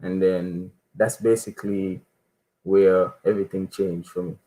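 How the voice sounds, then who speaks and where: Soft-spoken young man from Saint Kitts. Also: low-pitched, unhurried, rough recording. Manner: relaxed, many um fillers, soft-spoken. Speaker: footballer, interview guest. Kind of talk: casual interview answers.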